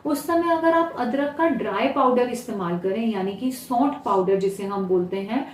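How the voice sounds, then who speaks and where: A woman with an Indian accent presents an explanatory home-remedy lesson as a health presenter, instructional and pausing to gloss terms. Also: a little high. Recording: roomy echo, distant mic, faint noise.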